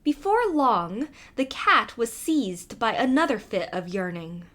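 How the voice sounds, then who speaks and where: A woman narrating a storybook fairy tale from a script in an expressive, measured manner. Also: on the high side.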